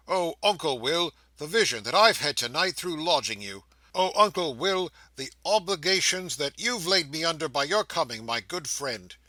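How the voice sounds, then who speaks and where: A beaming old porter, beside himself with joy, as a male reader puts him on. His voice rings bright and leaps about in pitch. It sits dry and close, like a storybook read aloud. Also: moderate pace, no room sound. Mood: ecstatic. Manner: excited, fond exclamations. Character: doting elderly male ticket-porter, overjoyed.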